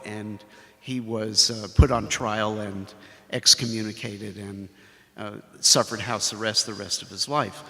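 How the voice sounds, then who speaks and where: Slow, halting professor, bright tone. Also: male voice, medium pitch. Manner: halting, with many fillers. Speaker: professor, faculty mentor. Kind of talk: ceremony speech. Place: library ceremony hall. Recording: long hall reverb.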